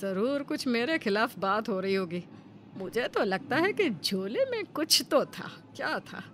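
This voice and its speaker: A woman's voice: on the high side, very animated, measured pace, a little hiss or hum. A woman voicing a suspicious, sarcastic middle-aged mother-in-law in a cartoon story, dramatic and grumbling.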